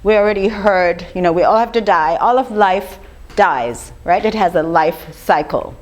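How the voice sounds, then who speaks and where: An older woman with a slightly rough voice gives an informal lecture, talking conversationally and putting questions to her audience. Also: mid-range voice, moderate pace. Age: 50s or older. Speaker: speaker giving a lecture on raw food.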